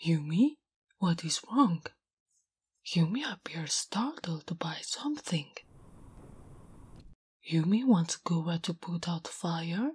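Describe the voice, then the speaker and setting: A woman voicing a big, blunt, smitten and naive female orc in whispered, halting broken English for an ASMR roleplay. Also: on the low side, animated, darkish tone. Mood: puzzled, curious. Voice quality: breathy, whispery. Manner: halting broken English, speaks of herself in third person. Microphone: close-up ASMR microphone. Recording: close, intimate microphone.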